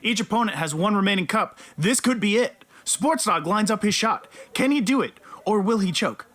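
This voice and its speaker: A woman's voice: mid-range voice, animated, moderate pace, a bit bright. A woman playing authoritative announcer and official roles in a comedic audio drama. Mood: excited commentary. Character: broadcast announcer, sports commentator and stern college dean. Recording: music behind the voice.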